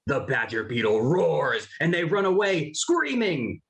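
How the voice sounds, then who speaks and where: A narrator reading scripted stage directions for an animated cartoon table read. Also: medium pitch, unhurried, heavy background noise.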